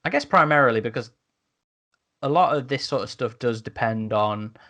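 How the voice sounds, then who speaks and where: A man in a casual podcast discussion as a host, speaking a little haltingly and repeating words as he goes. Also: mid-range voice, animated, pushed and bright.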